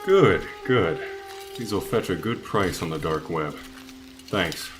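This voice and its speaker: A man's voice: sits low, expressive, takes it slowly, music in the background, rough recording. A man playing a cold, smug, calculating hypnotist supervillain with theatrical menace in an audio drama.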